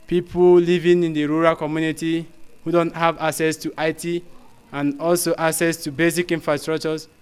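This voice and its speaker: Young man, Nigerian accent, delegate's statement, smooth clear voice, slightly high. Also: flat delivery, moderate pace. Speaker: youth delegate. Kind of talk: earnest interview statement.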